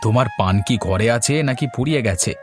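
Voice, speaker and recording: A man's voice: mid-range voice, fast, natural voice. A man voicing a patient, hardworking village father and fan seller in a cartoon story, plainspoken and mildly admonishing. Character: patient, hardworking village father who sells palm fans. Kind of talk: Bengali cartoon story acting. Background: music.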